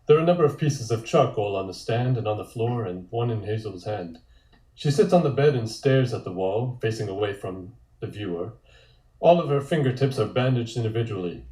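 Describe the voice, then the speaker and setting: A man reading scripted scene descriptions as narrator at a table read, deliberate and descriptive. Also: on the low side, dark tone. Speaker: narrator reading stage directions. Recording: echoey room sound.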